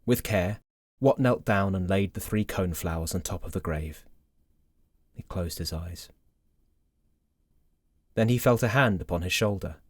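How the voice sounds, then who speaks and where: Low-pitched male storybook narrator, dry studio sound. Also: no room sound. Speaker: fairy tale narrator.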